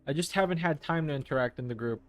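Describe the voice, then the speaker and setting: A man's voice: fairly high pitch, fast talker. Man chatting with his stream viewers. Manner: reads and answers chat messages, friendly, hesitant restarts. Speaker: livestream host. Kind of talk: casual chat with viewers while painting.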